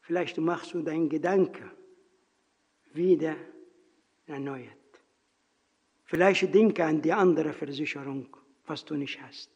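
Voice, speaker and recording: A man with a non-native German accent preaching earnestly in a church, telling a personal story in halting phrasing and then praying. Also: on the high side, a little mellow, breathy edge. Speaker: lay preacher. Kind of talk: sermon with personal story and prayer. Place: church hall. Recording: reverberant hall, dull lo-fi sound.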